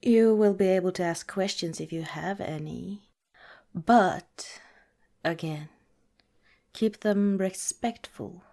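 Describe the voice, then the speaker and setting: A woman playing a protective vampire girlfriend in an ASMR roleplay, speaking softly and deliberately, partly in a whisper, earnest and cautioning. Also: medium pitch. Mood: cautioning, serious. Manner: soft, deliberate, breathy whispering in places.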